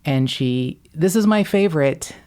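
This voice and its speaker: A woman from Kentucky with a deep voice, hosting a podcast in casual chat, wry and quick to swear or laugh. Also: animated, a little mellow. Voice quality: deep voice, often taken for a man on the phone. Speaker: podcast host.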